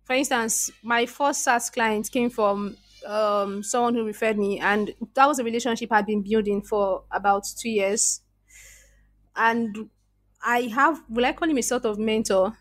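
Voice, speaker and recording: A woman's voice: a little high, fairly even intonation, measured pace, no echo. A woman with a Nigerian accent, a podcast guest telling her story in an earnest, reflective way and often restarting her sentences. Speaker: podcast guest, freelance copywriter. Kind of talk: casual interview conversation.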